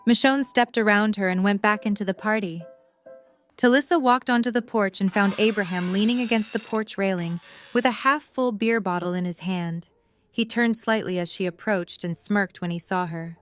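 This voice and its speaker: A woman's voice: medium pitch, normal speed, faint music behind. A woman reading scripted third-person story narration for an audio drama.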